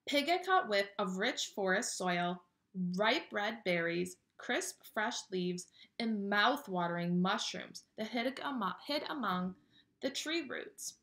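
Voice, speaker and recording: A woman reading a children's picture book aloud with expressive, dramatic storytelling delivery. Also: medium pitch, slow.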